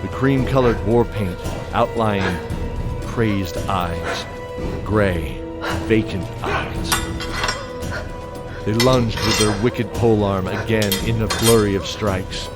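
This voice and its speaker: Guy narrating fantasy audio drama, deepish voice, measured phrases, big music underneath.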